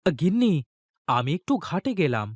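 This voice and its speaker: A man voicing a humble, anxious village boatman and husband in a cartoon, with expressive, dramatic delivery. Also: a little high, moderate pace, no room sound, clean studio sound. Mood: brisk. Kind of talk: Bengali cartoon voice acting.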